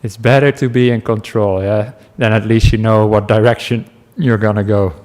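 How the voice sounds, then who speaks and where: Dutch-accented monk telling a gentle story. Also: male voice, fairly low pitch, fairly even intonation, normal speed. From Netherlands. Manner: gentle, chatty storytelling with asides and small laughs. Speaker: meditation teacher, monk. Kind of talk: dharma talk to a class.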